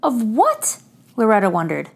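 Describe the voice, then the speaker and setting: A woman hosting a read-aloud for young children, telling a picture book in an expressive storytelling style with deliberate phrasing. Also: a little high. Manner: addresses young listeners directly, clear deliberate phrasing.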